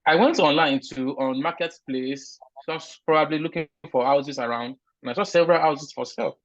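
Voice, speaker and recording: A man's voice: fairly high pitch, normal speed, no room sound. Earnest Nigerian man, dull lo-fi sound. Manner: earnest, emphatic, frequent restarts. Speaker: interview guest, recent immigrant. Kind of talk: conversational interview answers.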